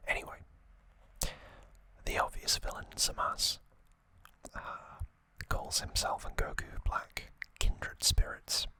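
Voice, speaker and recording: Slowly whispering man, ASMR style. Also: sharp tone, low-quality recording. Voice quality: breathy unvoiced whisper. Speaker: ASMR video host. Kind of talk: whispered ASMR recap, casual and informal.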